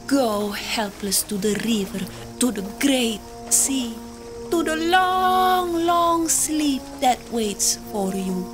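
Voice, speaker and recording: A desperate young island lover, high voice that swings all over, very slow and drawn out, music underneath. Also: female voice, expressive. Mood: tender and bitter. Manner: impassioned, pleading, wild swings to cries. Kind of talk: full-cast audio drama. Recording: music score behind.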